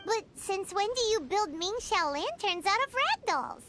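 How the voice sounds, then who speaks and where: A woman voicing a small, bubbly, curious childlike fairy companion in a video game, squeaky and exclamatory. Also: sing-song, a little slow, heavily acted. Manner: speaks of self in third person, exclamatory. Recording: music behind the voice.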